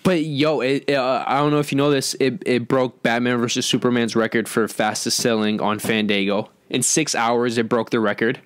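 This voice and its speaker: A man co-hosting an entertainment podcast, talking casually through box-office figures with halting restarts. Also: medium pitch, steady tone, clear, resonant tone, no echo.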